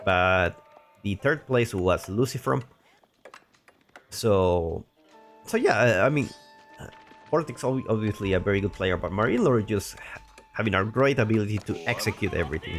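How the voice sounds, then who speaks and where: Low-voiced man casting a strategy game. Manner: rambling, chatty, frequent restarts and asides. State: a little sick, voice deeper than usual. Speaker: game caster. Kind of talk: casual play-by-play commentary.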